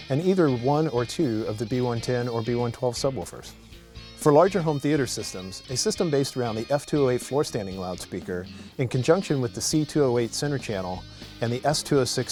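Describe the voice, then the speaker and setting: A man's voice: medium pitch, a little mellow. A man reading a polished, scripted product narration as a promotional voice-over. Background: guitar music.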